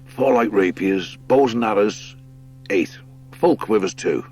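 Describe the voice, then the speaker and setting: A man's voice: lowish voice, unhurried, a little mellow, slightly rough, soft background music. A man voicing a dutiful military hare in an audiobook, with a clipped, reporting manner. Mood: brisk, dutiful. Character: military male boxing hare, brisk and dutiful. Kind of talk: audiobook character acting.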